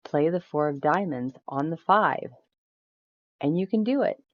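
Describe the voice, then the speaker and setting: A woman's voice: sits low, expressive, measured pace. Woman narrator as young male salesman ghost, cordial, pushy, businesslike, storybook delivery, slight room echo. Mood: prompting. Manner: businesslike, prompting. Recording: roomy echo, slightly dull sound.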